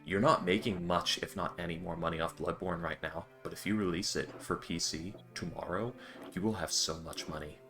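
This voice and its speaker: A man's voice: deep voice, a little fast, bright, forceful tone. A man chatting and ranting profanely between turns of a streamed tabletop game, with faint music behind. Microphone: streaming mic.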